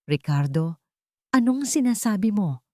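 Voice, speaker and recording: A woman voicing a meek, hurt and loving wife in a narrated drama, soft-spoken and pleading. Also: medium pitch, lively intonation, measured pace, dry recording, studio quality, pitched above the performer's own voice, close to an everyday voice.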